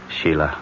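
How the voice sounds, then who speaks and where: An actor playing an affectionate, excitable husband and loyal friend in a radio drama, expressive and coaxing. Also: male voice. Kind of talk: 1970s radio drama acting. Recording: dull vintage radio recording, faint noise.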